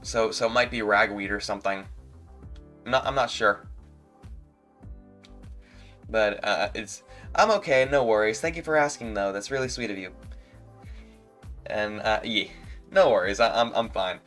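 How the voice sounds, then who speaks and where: Stuffy-nosed man, halting stream chat. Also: lowish voice, a bit bright, faint music behind. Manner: halting, stumbles and repeats words. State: stuffy nose. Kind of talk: casual livestream chat.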